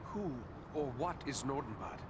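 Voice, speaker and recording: A man's voice: medium pitch, big pitch swings, normal speed, heavy background noise, natural voice. A man acting a grave, earnest heroic ranger in a fantasy video game, questioning and commanding his companions. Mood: grave, urgent. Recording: loud music over dialogue.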